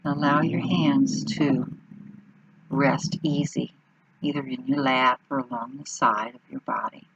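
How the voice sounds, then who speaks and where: A woman leads a guided meditation at a slow, unhurried pace, leaving pauses between short phrases. Her voice is husky and full of fry. The recording is muffled and dull. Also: very deep voice, fairly even intonation.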